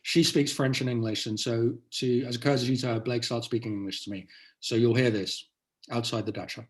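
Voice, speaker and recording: A man's voice: medium pitch, steady tone, brisk. Man presenting a talk, conversational. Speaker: author giving a book talk. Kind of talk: presenting to an audience, anecdotal.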